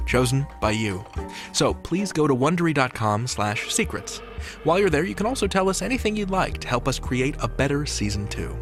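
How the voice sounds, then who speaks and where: A man hosting a fiction podcast, reading a scripted introduction. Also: medium pitch. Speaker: podcast host. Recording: prominent music under the voice. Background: music.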